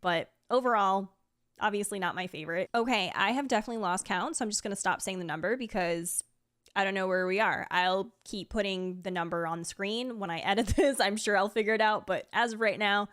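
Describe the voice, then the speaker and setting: A woman's voice: medium pitch, fairly quick, fairly bright tone, no room sound. Woman in chatty commentary, dry close sound. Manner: chatty, rambling, gushing asides. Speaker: video creator and game streamer. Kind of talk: casual reaction commentary, ranking game trailers. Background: faint music.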